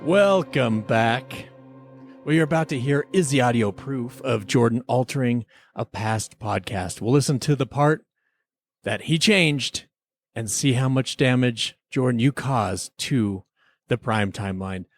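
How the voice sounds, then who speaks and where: A man's voice: medium pitch, a little slow, soft background music. A man hosting a podcast, moving between casual banter and playful, mock-serious scripted presenting. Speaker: podcast host.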